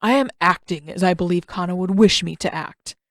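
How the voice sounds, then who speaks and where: A woman voicing a stern, proud old male master in a story reading, speaking in a formal, measured, condescending way. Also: lowish voice, no room sound, clean studio sound, lightly acted. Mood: stern, dignified. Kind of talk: audiobook-style fan fiction character reading.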